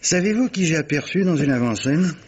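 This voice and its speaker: Man acting a suave, animated gentleman sleuth. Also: fairly high pitch, brisk, natural voice. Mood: animated, teasing. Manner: courtly, theatrical, savouring his words. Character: urbane, clever gentleman captain and amateur sleuth, suavely teasing. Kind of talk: 1950s French radio mystery acting. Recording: muffled vintage radio recording, faint noise.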